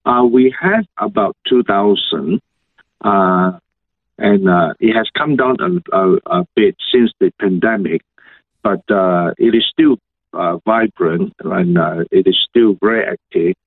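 A middle-aged Malaysian man with a lowish voice answers interview questions as a guest pastor. He picks his words in halting, measured phrases. The sound is thin and narrow, like a phone line, coming over a video call. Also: no room sound.

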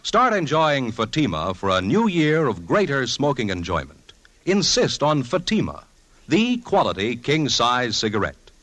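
A male announcer of medium pitch reads an old-time radio commercial. His delivery is emphatic and polished, with very animated rises and falls, in a dry studio sound. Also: unhurried, no room sound. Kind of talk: 1950s radio advertisement read.

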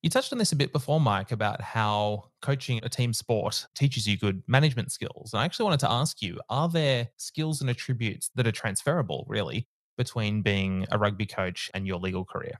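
A man hosts an interview, friendly and eager with his follow-up questions. His voice sits on the low side, and the recording is dry and close with almost no room sound. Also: brisk, dry recording. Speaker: interview host. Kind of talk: conversational interview.

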